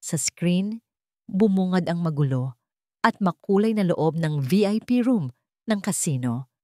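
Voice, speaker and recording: Filipino woman narrating a drama, lower-pitched. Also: lively intonation, a little slow, mellow, dry recording, studio quality. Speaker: audiobook narrator. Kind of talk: dramatic scripted story narration.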